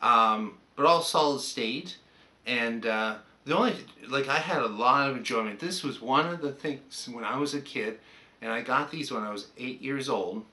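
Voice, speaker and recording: A man's voice: mid-range voice, sharp tone. An older man with a Canadian accent, chatting casually and anecdotally as he reminisces like a hobbyist presenting on a vlog.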